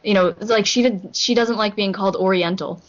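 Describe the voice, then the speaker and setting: A woman's voice: medium pitch, fairly quick. A young woman from Hong Kong chatting casually on a podcast, rambling with lots of fillers and restarts. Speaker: podcast co-host. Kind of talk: casual group chat. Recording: muffled lo-fi recording.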